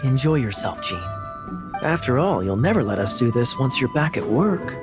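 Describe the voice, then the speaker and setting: A man playing a genial, confident knight in a video game, talking in a smooth, theatrical, reassuring way. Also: mid-range voice, sing-song, moderate pace, over music, natural voice.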